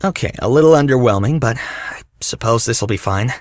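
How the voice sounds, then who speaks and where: A man's voice: mid-range voice, animated, fairly quick, no echo, clean studio sound, close to an everyday voice. A man playing a sarcastic, casual teenage boy hero in a fan audio drama. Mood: underwhelmed, wry. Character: sarcastic teenage boy turned giant blue hedgehog hero.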